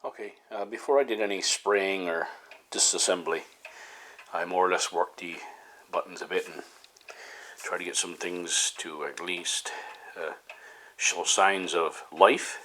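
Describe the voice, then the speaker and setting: A man giving a casual hands-on repair demonstration, talking haltingly with frequent pauses mid-sentence. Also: low-pitched, fairly even intonation, sharp tone. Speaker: hobbyist host of a repair video series.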